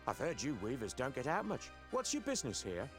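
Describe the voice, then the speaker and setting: A man's voice: mid-range voice, huge pitch swings, fairly quick. An actor playing a friendly, chatty young male blacksmith's apprentice with a folksy, easygoing manner in a fantasy game. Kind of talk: fantasy adventure game voice acting. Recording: game audio with music underneath.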